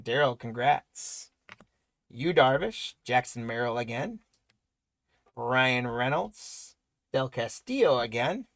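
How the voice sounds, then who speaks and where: A man hosting a live trading card break, rattling off player names and congratulating viewers, his voice going hoarse. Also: mid-range voice, a bit bright, dry recording. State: voice weakening, losing it.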